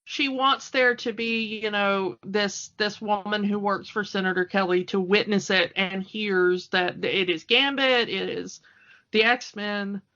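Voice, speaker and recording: Bright-voiced woman podcaster, unhurried pace. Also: medium pitch, fairly even intonation, dull audio. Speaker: podcast co-host. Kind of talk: casual chat recapping a TV cartoon.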